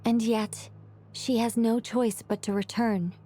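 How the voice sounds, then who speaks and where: A woman playing a wise, calm companion who speaks thoughtfully and gently guides others in a game cutscene. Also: on the high side, takes it slowly, a little hiss or hum. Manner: thoughtful, reflective, gently guiding.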